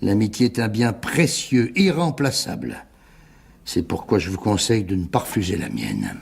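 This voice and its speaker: A wily middle-aged businessman in a radio mystery play. His voice is husky and creaky, with swooping, theatrical intonation. He is unctuous and condescending, with a cowardly streak. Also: lowish voice, very animated, moderate pace, heavily acted. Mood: unctuous, persuasive. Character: wily older businessman, cowardly then smugly blackmailing.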